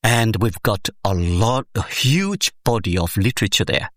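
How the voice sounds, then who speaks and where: A man speaking English as a second language explains his research in a measured, step-by-step way, pausing often to find his words. His pitch rises and falls with lively emphasis. The sound is dry and close, with no room echo. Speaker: education researcher, interview guest. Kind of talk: explanatory academic interview answer.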